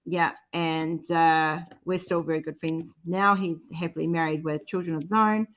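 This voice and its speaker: A woman's voice: low voice, steady tone, normal speed. A middle-aged woman with a New Zealand accent, chatting casually and telling personal stories as a guest in a group conversation. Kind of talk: casual chat, personal storytelling.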